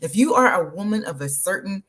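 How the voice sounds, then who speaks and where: A woman, hosting an energetic, motivational live stream and talking straight to her viewers. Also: medium pitch, a little fast. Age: 50s. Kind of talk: live social media stream.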